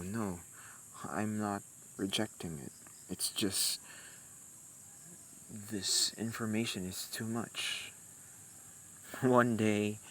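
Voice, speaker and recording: Very slow, halting young warlock played by a man. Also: on the low side. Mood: flustered, disbelieving. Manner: halting, soft-spoken, addressing the listener intimately. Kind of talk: amateur romantic roleplay audio drama. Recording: noisy, with insect-like outdoor ambience. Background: crickets and night forest ambience.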